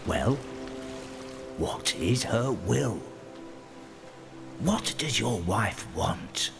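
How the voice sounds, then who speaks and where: Slow, gravelly enchanted fish. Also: male voice, on the low side, animated, music in the background, exaggerated voice. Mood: solemn. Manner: slow, drawn-out delivery. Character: enchanted prince in fish form, solemn and weary. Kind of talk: full-cast fairy tale audio drama acting.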